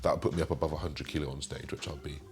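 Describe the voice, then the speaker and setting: A man in his twenties with a slightly raspy voice, chatting casually as he answers viewer questions and rambles with fillers. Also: rumbling low, steady tone, racing. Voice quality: raspy today. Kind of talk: casual Q and A monologue.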